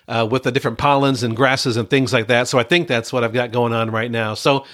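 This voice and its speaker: A man's voice: medium pitch, fairly even intonation, a little fast, a bit bright. Middle-aged man from Michigan, pastor-coach podcast host; stuffy, congested voice; earnest, chatty delivery. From Michigan, USA. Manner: earnest, conversational, with frequent uh fillers. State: congested from a cold. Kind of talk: solo podcast monologue.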